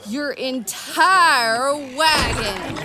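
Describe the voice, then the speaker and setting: A woman's voice: high voice, animated, extremely slow, a crowd in the background, exaggerated voice. A woman playing a bold, blunt Old West gunslinger, forthright and challenging, in an audio drama. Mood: firm, challenging.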